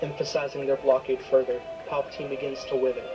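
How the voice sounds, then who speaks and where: A man's voice: medium pitch, level tone, quick pace, highs cut off. A man reading a scripted, dramatic story as its narrator. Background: prominent music.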